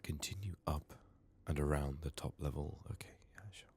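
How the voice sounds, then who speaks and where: A man giving soft-spoken ASMR game commentary, murmuring haltingly and slipping into whispers, his voice strained from being sick. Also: very deep voice, fairly even intonation, slightly rough. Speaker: ASMR gaming video host.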